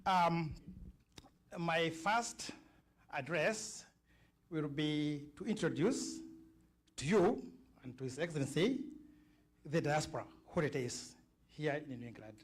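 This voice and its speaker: A middle-aged or older man from Kenya with a Kenyan accent, giving an informal, halting public address as a community speaker and checking in with his listeners. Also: a little high, animated, breathy edge.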